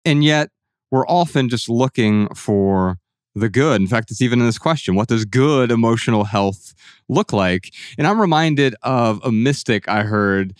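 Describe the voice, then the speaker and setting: Guy hosting a podcast, earnest and thoughtful, dry close mic, pretty average pitch. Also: lively intonation, normal speed, dry recording. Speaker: podcast host. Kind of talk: casual podcast conversation.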